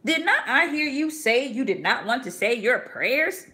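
A woman reading as a gentle devout mother. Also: high-pitched, animated, measured pace, voice pushed up, exaggerated voice. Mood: scolding. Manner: soft, earnest, coaxing. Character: gentle devout mother teaching her little son.

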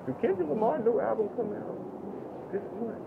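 A man vlogging casually from his motorcycle, thinking aloud in a relaxed, slow way. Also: extremely high pitch, vocal fry. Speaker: motovlogger. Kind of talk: casual vlog commentary while riding. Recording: muffled with loud road and engine noise.

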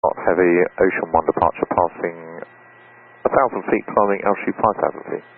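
A man's voice: deep voice, fairly quick. A man working as an airline pilot, giving clipped readbacks over cockpit radio. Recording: muffled aviation radio, faint static.

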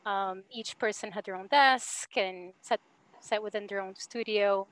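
Woman, thirties, Brazil-born panelist, halting casual talk over a video call. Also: mid-range voice, fairly even intonation, fairly bright tone. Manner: hesitant, many fillers, laughs easily. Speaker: panelist, architect. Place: home.

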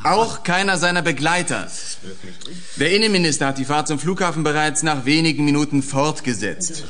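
An actor playing a businesslike police official in a German radio drama, speaking in a measured, formal, evasive manner. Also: male voice, on the high side, sharp tone. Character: guarded, businesslike male police spokesman and senior official.

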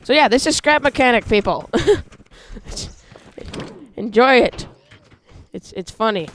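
A man hosting a casual gameplay video, talking through how he builds a vehicle, with excited yelps when he drives it. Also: very high-pitched, takes it slowly, slight background noise. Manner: chatty, explains while building, excited yelps when driving. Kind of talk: casual let's-play commentary.

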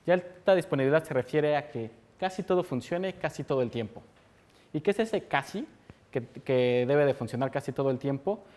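A man's voice: fairly high pitch, expressive, normal speed, darkish tone. Mexican man giving a tech talk. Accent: Mexican Spanish. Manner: explanatory, asks and answers his own questions. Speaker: conference speaker. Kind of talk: technical conference talk. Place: lecture room with audience.

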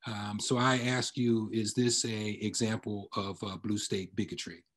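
A man's voice: lowish voice, little pitch movement, a little slow, a bit bright. An older man speaking as a professor in an online presentation and discussion, on a computer microphone indoors. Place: indoor room. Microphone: computer microphone on a video call.

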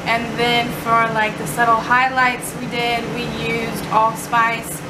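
A young woman in her twenties chatting casually to the camera as a vlogger, relaxed and full of ums. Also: fairly high pitch, slow pace. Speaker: lifestyle vlogger. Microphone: camera mic, close framing. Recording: loud background noise, slightly distant mic.

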